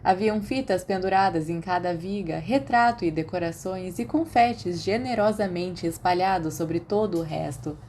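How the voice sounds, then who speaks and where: A woman's voice: on the low side, normal speed, hardly any pauses, a little mellow. A woman with a Brazilian accent reading scripted fiction as an audiobook narrator. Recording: faint background noise.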